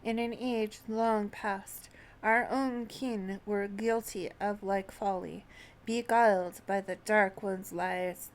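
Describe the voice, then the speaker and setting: A woman's voice: mid-range voice, fairly even intonation. A woman voicing an ancient, scornful and world-weary male dragon from a fantasy game, reading solemnly in archaic language. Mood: grave, lecturing. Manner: solemn, measured, archaic diction. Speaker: streamer reading out translated game dialogue. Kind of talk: archaic fantasy dialogue read aloud.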